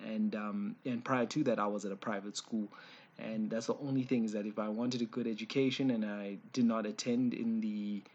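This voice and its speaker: A South African man in his forties with a slightly raspy voice, giving an informal opinion piece in a hesitant, conversational way, often correcting himself. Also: on the low side, fairly even intonation, moderate pace, a little mellow, highs cut off. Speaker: online commentator.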